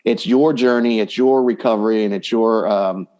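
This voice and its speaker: A man's voice: fairly low pitch, normal speed, mellow. A man speaking as a dating coach guest in a conversational podcast interview, explaining points by voicing hypothetical quotes.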